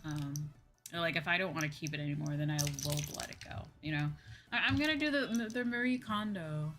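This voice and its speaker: A woman's voice: sits low, fairly quick. A young woman livestreamer chatting casually in a slangy, exclamatory way, like a VTuber talking to her viewers. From United States. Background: background music.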